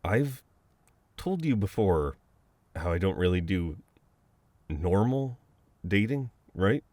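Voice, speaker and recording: Male actor as teasing, exasperated best friend with a dominant edge for intimate audio roleplay; lowish pitch, unhurried, very close dry sound. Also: very animated, a little mellow, no room sound, full character voice. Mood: reluctant, awkward. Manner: conversational, exasperated, breaking into chuckles and sighs.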